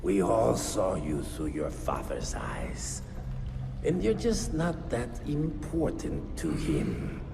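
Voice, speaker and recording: Sneering game vampire: creaky voice, wildly swinging pitch, mocking and drawn out, under loud music. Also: male voice, wildly swooping pitch, heavily acted. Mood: mocking, menacing. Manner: mocking, drawn-out, theatrical delivery. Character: taunting, sneering male vampire villain, unhinged and theatrical. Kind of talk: video game villain acting.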